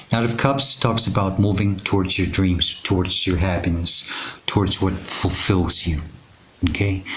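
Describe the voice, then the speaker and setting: Hoarse low-voiced man reading tarot. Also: a bit bright, slight background noise, low-quality recording. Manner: reassuring, unhurried, with frequent fillers and pauses. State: hoarse, raspy today. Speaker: tarot reader. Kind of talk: intuitive tarot reading to camera.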